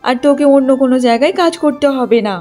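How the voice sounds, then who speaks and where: Casting: poor middle-aged village mum played by a woman, high voice, fussing, devoted, cartoon moral tale, soft music underneath. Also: quick pace, natural voice. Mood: reassuring, insistent. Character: poor, hardworking, self-sacrificing middle-aged village mother. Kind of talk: Bengali cartoon story dialogue.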